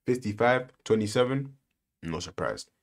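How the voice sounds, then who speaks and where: A man's voice: fairly low pitch, lively intonation, normal speed. A man hosting a reaction video, giving sarcastic, lecturing commentary full of rhetorical questions.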